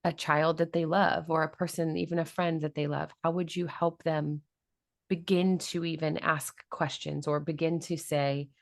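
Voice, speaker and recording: A woman with a notably low voice hosts a casual interview podcast. She thinks aloud and builds long, reflective questions for her guest. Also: flat delivery, moderate pace. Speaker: podcast host.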